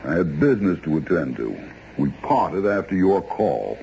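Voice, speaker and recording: A man's voice: low voice, big pitch swings, moderate pace, vocal fry, warm tone, full character voice. An actor playing an indignant, haughty male attorney in a 1970s radio drama, curt, precise and defensive. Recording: dull, noisy old radio recording.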